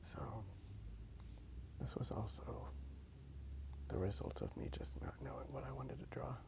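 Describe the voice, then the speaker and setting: A man speaks in a half-whispered ASMR murmur with heavy vocal fry. He rambles hesitantly, often trailing off, as he hosts a casual drawing video outdoors on a handheld phone. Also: lowish voice, big pitch swings, unhurried. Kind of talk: soft-spoken ASMR rambling. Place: outdoors in a park.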